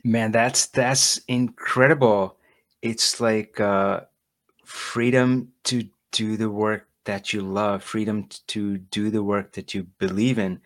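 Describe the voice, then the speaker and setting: A man's voice: lowish voice, little pitch movement, takes it slowly. A man hosting a casual interview, enthusiastic and encouraging toward his guest. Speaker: podcast host.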